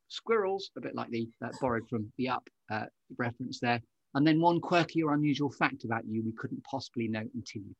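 A man's voice: mid-range voice, no echo, dull audio. A British man hosting an interview podcast, conversational and effusive, with playful, elaborate phrasing. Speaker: podcast host.

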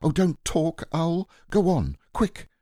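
A man's voice: on the high side, very animated, takes it slowly, dark tone, dry recording, studio quality, voice pushed up, full character voice. A man voicing an eager, affectionate, innocent young boy in a storybook, earnest and exclaiming. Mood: urgent, eager.

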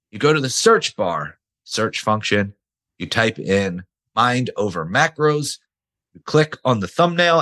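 A man hosts his solo podcast in a rough, hoarse voice, close to losing it. His voice sits fairly low, and he talks casually, hitting his points hard and swearing now and then. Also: fairly bright tone. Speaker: podcast host.

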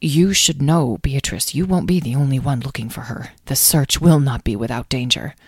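Woman as a cautious, measured male guardian. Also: very deep voice, darkish tone, breathy edge, no room sound, clean studio sound, full character voice. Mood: worried.

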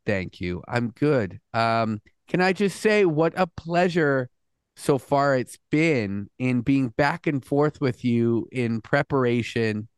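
A man's voice: medium pitch, clear, resonant tone, no echo. A man hosting a podcast interview, earnest and chatty, with frequent restarts and "mm" sounds. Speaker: podcast host. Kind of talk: casual interview chat.

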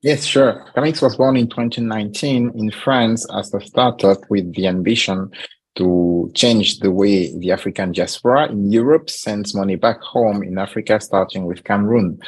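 Low husky male founder, measured and filler-heavy podcast guest. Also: a little mellow, rough recording. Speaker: startup founder and CEO. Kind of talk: podcast interview.

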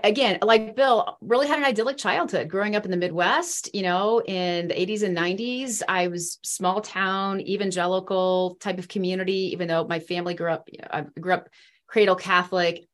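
A woman's voice: lowish voice, fairly even intonation, moderate pace, fairly bright tone. A woman in her forties from the Midwest, sharing her faith story as a podcast guest in an earnest, rambling way with many fillers. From East Central Illinois. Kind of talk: faith testimony in a podcast interview.

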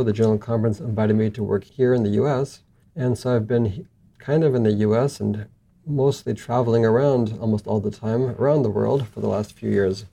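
Mellow, gravelly middle-aged man. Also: medium pitch. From southern Africa and Southeast Asia. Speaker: interview guest, missions journalist. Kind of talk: conversational personal account in an interview.

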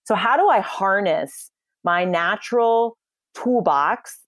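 A woman's voice: mid-range voice, animated, slow, a bit bright, no room sound. A woman giving confident, emphatic advice on communication in a podcast interview. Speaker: communication coach for lawyers.

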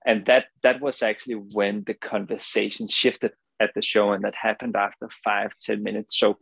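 A man's voice: fairly low pitch, level tone, normal speed, gravelly, a bit bright, breathy edge, dry recording. A young man from Denmark with a Danish accent, earnestly explaining his company in an interview and often tagging his points with "right?". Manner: earnest, many restarts and "right?" tags. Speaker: founder of a swim goggle company. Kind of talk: podcast interview.

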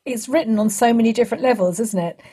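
Female interviewer, fairly high but mellow voice, easy conversational delivery. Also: fast. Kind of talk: casual conversation.

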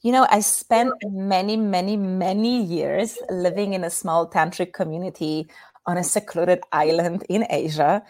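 A woman's voice: lowish voice, unhurried, darkish tone. A woman from Poland with a Polish accent, a guest in a podcast interview, speaking in an earnest, explanatory way and guiding listeners through simple exercises. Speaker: intimacy coach guest.

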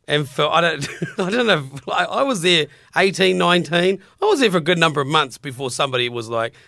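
A man's voice: a little high, expressive, a little fast, vocal fry, bright, forceful tone, no echo, clean studio sound. A young adult man with a strong New Zealand accent, hosting a casual podcast in a laid-back, mumbly way, laughing often. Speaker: podcast host.

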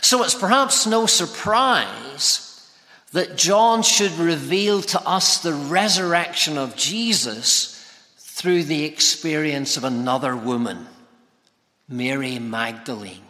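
A man delivers a sermon in a bright, forceful voice pitched a little high. He phrases things deliberately and with emphasis, and his words ring with the long reverb of a hall. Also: animated. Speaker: preacher. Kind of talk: expository sermon. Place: large reverberant church or hall.

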